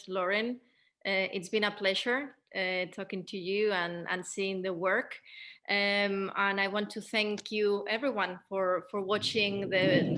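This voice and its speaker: A woman hosting a theatre discussion, giving polite, unscripted thanks and announcements in short phrases with pauses. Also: medium pitch, fairly bright tone. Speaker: host of a theatre Q&A.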